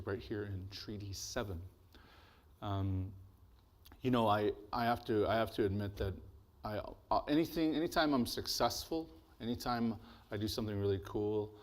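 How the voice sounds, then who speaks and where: A man with a deep, bassy voice giving a relaxed, joking symposium talk as an artist, speaking into a microphone in a lecture hall. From Blackfoot territory, Alberta. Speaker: artist and presenter. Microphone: handheld or podium microphone.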